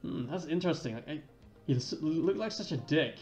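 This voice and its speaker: A man's voice: on the high side, expressive, fairly quick, darkish tone. A man who streams video games, reading dialogue aloud over game music. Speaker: let's-play streamer. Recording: dull lo-fi roomy mic.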